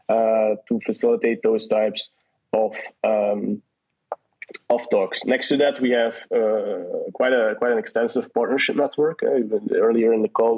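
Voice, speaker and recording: Flat-voiced man on a lo-fi call. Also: mid-range voice. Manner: hesitant, many restarts and fillers. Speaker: guest from a partner project. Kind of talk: casual conversational answers. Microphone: online audio call. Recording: dull lo-fi audio call.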